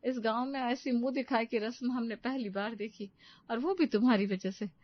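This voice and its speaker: A woman's voice: on the high side, moderate pace, dull audio, natural voice. A woman voicing an agreeable village neighbour in a cartoon story, eager and approving. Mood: pleased. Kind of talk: cartoon moral-story dialogue.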